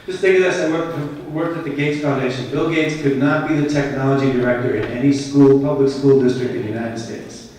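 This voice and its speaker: A man's voice: medium pitch, steady tone, a little fast, few pauses, a little mellow, a little hiss or hum. Man, Boston accent, conference keynote, conversational and emphatic, very echoey hall, distant mic. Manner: conversational, emphatic, asks the audience questions.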